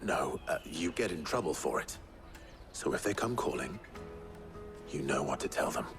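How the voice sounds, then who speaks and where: An actor playing a terse, earnest male adventurer in a fantasy video game, speaking in a measured way. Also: expressive, music in the background. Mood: earnest, sombre. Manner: terse, low-key, measured. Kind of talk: dramatic video game acting.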